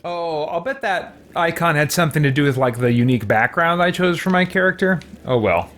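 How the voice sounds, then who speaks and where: A man's voice: on the high side, lively intonation, a little fast, fairly bright tone, a little hiss or hum. A man in his thirties doing casual let's-play commentary from a room at home, hesitantly thinking aloud. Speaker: gaming streamer. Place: small indoor room at home.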